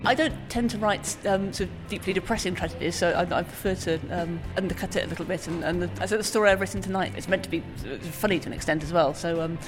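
Fast-talking woman with music behind. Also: lowish voice, low-quality recording. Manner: hesitant, many ums. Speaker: writer being interviewed. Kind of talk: casual interview.

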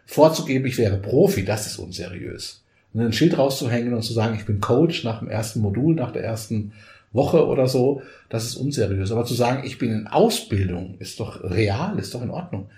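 Mellow-voiced man, echoey room. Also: mid-range voice, fairly quick. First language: Portuguese. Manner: animated storyteller, frequent tag "ne?". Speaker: coaching expert guest. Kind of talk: conversational podcast storytelling.

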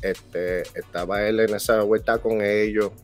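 A man with a Puerto Rican accent, a music producer talking as an interview guest in lively, slangy street talk. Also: on the low side, unhurried. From Puerto Rico. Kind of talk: casual interview.

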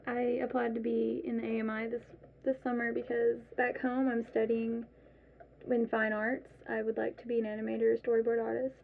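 A woman's voice: on the high side, gravelly, a little hiss or hum. A woman in her twenties from eastern Kentucky, a college student, explaining her plans steadily. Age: 20s. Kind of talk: radio interview. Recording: narrow phone-like sound with faint background.

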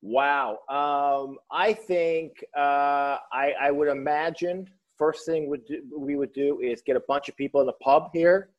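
A man's voice: a little high, fairly even intonation, a little slow, hardly any pauses, muffled recording. A man, a comedian and author, telling joking stories in a casual interview. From Newfoundland. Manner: joking storyteller, slips into voices.

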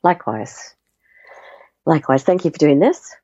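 Guest, woman from Canada, accent blended with Australian, chatty and lively, muffled dry call audio. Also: sits low, moderate pace, slightly rough, no echo. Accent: Canadian with Australian tinge. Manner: chatty, lively storytelling with fillers. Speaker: interview guest. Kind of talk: casual conversational interview.

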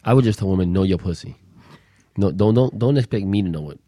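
A man's voice: sits low, expressive, warm tone, dry recording, studio quality. A man guest in a casual podcast chat who argues and pushes back. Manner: argumentative, pushes back, quick.

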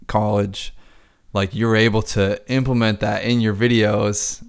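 A man's voice: fairly low pitch, flat delivery, unhurried, vocal fry, smooth. A man who works as a DJ, chatting casually on a podcast, rambling with lots of filler words and swearing freely.

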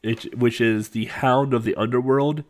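A man's voice: medium pitch, steady tone, moderate pace, a little mellow. A man casually commenting on a retro game he is playing, rambling with fillers and frequent laughs.

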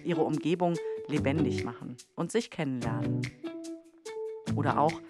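A woman's voice: low voice, normal speed, loud music behind the voice. A woman reading scripted podcast narration as the host.

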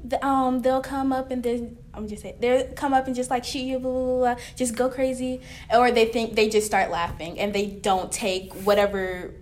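A woman's voice: on the high side, level tone, moderate pace, hardly any pauses, a little hiss or hum. A young woman co-hosting a casual roundtable discussion, rambling and sometimes searching for words. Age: young adult. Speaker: staff writer and podcast co-host.

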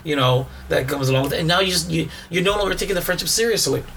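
Hoarse man, rambling podcast co-host. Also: a little high, racing, a bit bright, a little hiss or hum. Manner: rambling, repeats words and restarts often. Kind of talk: casual chat.

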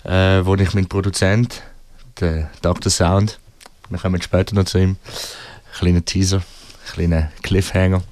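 A man chatting casually as a musician guest in a radio interview, speaking hesitantly in short phrases with frequent pauses. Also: sits low, flat delivery, darkish tone, dry recording.

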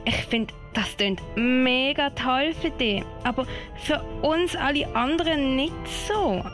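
Woman playing a plucky high-voiced little girl scout. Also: slow, over music. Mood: pleading. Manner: earnest, naive, determined. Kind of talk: children's audio play acting.

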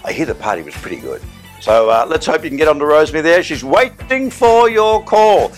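A man's voice: a little high, sing-song, a little fast, faint music behind. A man hosting a film-night special, chatty and enthusiastic, with playful asides. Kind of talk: casual upbeat presenting.

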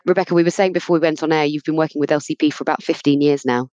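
A man's voice: a little high, fairly even intonation, rapid-fire, a little mellow, dry recording, dull audio. Man hosting a friendly podcast. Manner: friendly, asks long rambling questions. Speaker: podcast host. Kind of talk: conversational podcast interview.

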